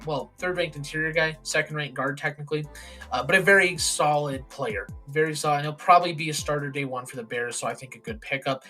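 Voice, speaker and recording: A young man giving casual, opinionated football draft commentary, his voice hoarse and raspy. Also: fairly high pitch, a bit bright. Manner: opinionated, plain-spoken with frequent ums.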